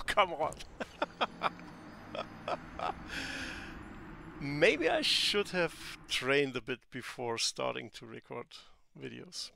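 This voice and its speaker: A man's voice: mid-range voice, gravelly, noisy background. A man in his forties from Bavaria with a German accent, a non-native English speaker joking through a let's-play on a headset mic at home and talking back at the enemies on screen. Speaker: gameplay commentator. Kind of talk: casual let's-play commentary.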